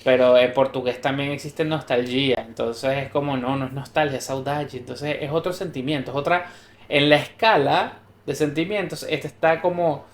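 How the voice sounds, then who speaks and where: A man's voice: medium pitch, fairly even intonation, moderate pace. A man co-hosting a podcast, talking casually and thoughtfully, explaining ideas through examples and restarts. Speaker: podcast co-host. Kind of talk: casual conversational podcast chat. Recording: roomy echo.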